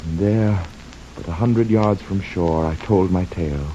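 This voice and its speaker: A haunted seafarer recites his tale slowly, with solemn and fervent intensity. The old radio drama sound is muffled and lo-fi, with noise behind the voice. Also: deep voice, mellow. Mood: weary reverence. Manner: slow, solemn, impassioned, poetic delivery. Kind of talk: dramatic radio-play verse narration. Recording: dull lo-fi radio recording, clear noise.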